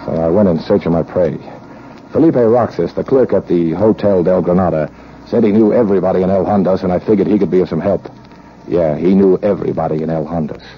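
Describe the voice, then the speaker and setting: Man playing a mercenary American gunrunner, deep voice, wisecracking hard-boiled delivery, muffled noisy 1940s radio recording. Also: quick pace, close to an everyday voice. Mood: dry, determined. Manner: wry, wisecracking. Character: cynical, money-hungry American male gunrunner adventurer.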